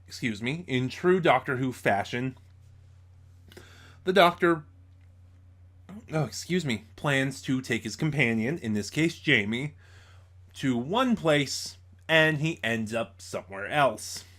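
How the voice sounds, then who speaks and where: A man giving an informal spoken review as host, in a halting way, stumbling over words and correcting himself. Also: mid-range voice, expressive, fairly bright tone.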